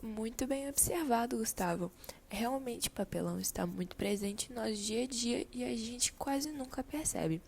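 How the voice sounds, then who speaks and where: A woman's voice: a little high, normal speed, creaky, darkish tone, a little hiss or hum. A woman playing a kindly Brazilian schoolteacher in a scripted amateur radio drama, didactic and encouraging. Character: female schoolteacher, kindly, guiding a classroom discussion.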